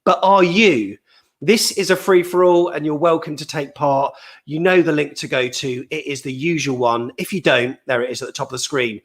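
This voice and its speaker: Man, from England, live fan show host, casual chatty delivery, somewhat high voice. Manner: chatty, upbeat, quick asides. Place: remote location on video call.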